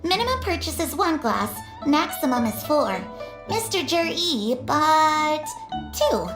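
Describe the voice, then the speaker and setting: A woman's voice: high voice, very animated, takes it slowly, echoey room, music in the background, exaggerated voice. A woman voice acting a polite, businesslike young shopkeeper in a video game, with a courteous sales manner. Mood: polite, salesy.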